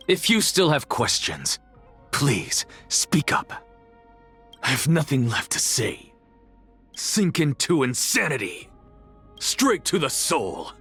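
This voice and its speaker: A man playing an arrogant, sardonic scholar and teacher in a video game, speaking in a haughty, deliberate, theatrical way. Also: medium pitch, sing-song, a bit bright, slightly rough, faint music behind, heavily acted. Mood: composed, superior. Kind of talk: scripted video game character voicelines.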